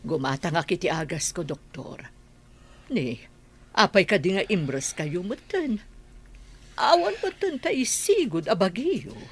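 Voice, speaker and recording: A woman playing an ailing older village woman, plaintive and talkative, with a husky voice in a radio drama. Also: fairly low pitch, sing-song, measured pace, exaggerated voice. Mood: sympathetic. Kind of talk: Ilocano radio drama acting.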